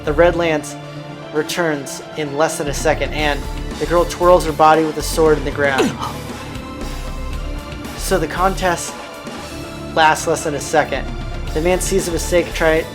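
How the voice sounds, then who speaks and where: A man with a slightly high voice reads visual novel narration aloud as a let's play host. A game soundtrack plays clearly behind him. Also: fairly even intonation, a little slow, pauses often. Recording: music playing behind the voice.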